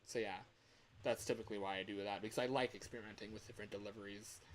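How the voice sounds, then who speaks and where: Casual, rambling man over steady background hum. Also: lowish voice, racing. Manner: rambling, self-deprecating. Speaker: livestreamer recording game voice lines. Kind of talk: casual livestream chat.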